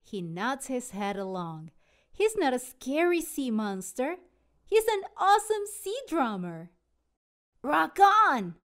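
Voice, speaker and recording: A woman narrating a children's storybook aloud in an expressive, dramatic way. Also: high-pitched, a little slow. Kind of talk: animated children's read-aloud.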